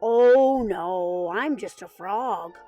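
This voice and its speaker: A woman voicing a relaxed, friendly male frog with a chatty manner. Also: medium pitch, extremely slow, muffled recording, soft background music. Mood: easygoing.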